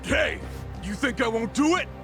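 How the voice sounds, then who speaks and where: An actor voicing an eager junior yakuza sidekick in a video game dub, talking in cocky slang. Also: male voice, very high voice, expressive, normal speed, vocal fry, over music, full character voice. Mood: taunting, threatening. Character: young junior yakuza sidekick, eager, mock-threatening debt collector.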